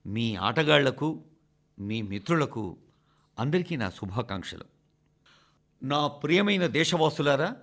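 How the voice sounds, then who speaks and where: Expressive, high-pitched man reading an address. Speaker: radio reader of a translated national address. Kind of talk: scripted broadcast narration, oratorical address.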